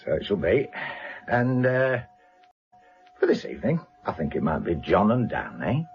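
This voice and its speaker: An actor playing a wry, fatherly British police inspector with dry, steady authority in a radio drama. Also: fairly low pitch, normal speed, dull audio, faint music behind. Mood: wry, kindly.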